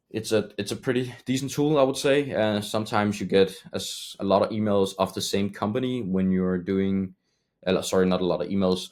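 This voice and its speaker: A man, not an English speaker, explaining a business method in a loose, rambling way, often pausing with fillers and correcting himself mid-sentence. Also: low-pitched, a little mellow. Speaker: agency owner teaching cold email outreach. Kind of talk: casual tutorial talk.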